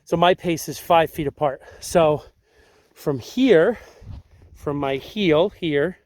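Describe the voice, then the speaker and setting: A young man with a slightly raised pitch talks outdoors into a handheld camera. His voice is a little raspy and congested. He explains things in a relaxed, rambling way, full of fillers and restarts. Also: takes it slowly, dry recording. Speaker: tracking instructor. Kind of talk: casual outdoor demonstration. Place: outdoors on sandy riverbank.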